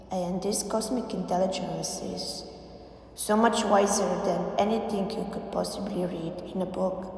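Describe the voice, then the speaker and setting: A woman leading a guided meditation, speaking in deliberate phrases with frequent pauses. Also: medium pitch, darkish tone, slight background noise. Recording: very echoey, long reverb, faint music. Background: faint background music.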